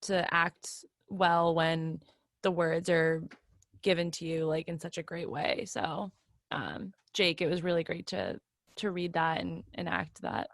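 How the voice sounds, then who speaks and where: Female actor, lowish voice, dry sound, casual appreciative chat on a video call. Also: measured pace, gravelly, no room sound.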